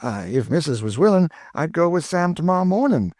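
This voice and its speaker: A man's voice: a little high, very animated, brisk, darkish tone, dry recording, clean studio sound, pitched above the performer's own voice. A man voicing an eager, chatty older woman cook with an in a storybook reading. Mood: delighted. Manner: exclaiming, folksy, eager.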